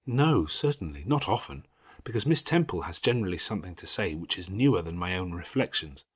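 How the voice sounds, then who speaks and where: A man voicing a calm, pious, forgiving schoolgirl in an audiobook, speaking in measured, earnest, formal Victorian phrases. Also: sits low, husky. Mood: mild, modest. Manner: measured, gently preaching, formal Victorian diction. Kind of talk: audiobook character acting.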